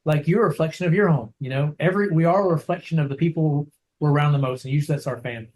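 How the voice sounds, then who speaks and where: A man who trains dogs, talking casually over a video call, soft-spoken and rambling, often checking in with "right?". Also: a little high, racing. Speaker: dog trainer.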